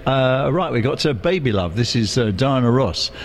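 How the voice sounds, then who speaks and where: A man's voice: mid-range voice, expressive, moderate pace. A man presenting a request radio show, chatty and full of fillers, with a cough and a slight wheeze.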